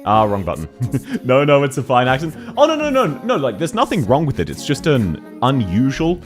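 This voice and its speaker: Fast-talking Australian man, lively voice. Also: medium pitch, soft background music. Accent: Australian, unusual variety. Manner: fast-talking, laughs often, animated. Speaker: game streamer. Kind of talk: casual live-stream chat, reading chat aloud while playing.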